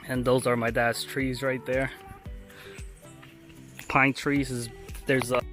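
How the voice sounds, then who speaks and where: A man from Puerto Rico talking casually to his viewers as he tours and tastes things. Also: mid-range voice. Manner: chatty, addresses viewers directly. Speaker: travel vlogger. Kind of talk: casual travel vlog.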